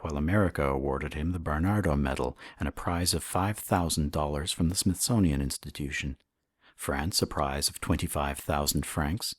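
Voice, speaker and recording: A man with a husky voice reads a historical science text as formal, measured audiobook narration. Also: very deep voice, no echo, studio quality. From Canada. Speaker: audiobook reader.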